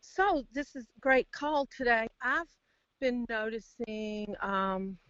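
A woman calling in to share casual reflections, halting and searching for words, over a phone line. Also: on the high side, fairly bright tone, clean tone, no room sound. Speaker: caller to a group call. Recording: dull dry phone line.

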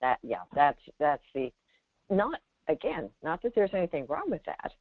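A woman's voice: low-pitched, big pitch swings, moderate pace, no room sound. A woman giving spiritual guidance to callers as a meditation teacher, speaking emphatically and often checking in with rhetorical questions. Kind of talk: spiritual guidance in a call-in conversation. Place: remote audio call. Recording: dull, lo-fi call audio.

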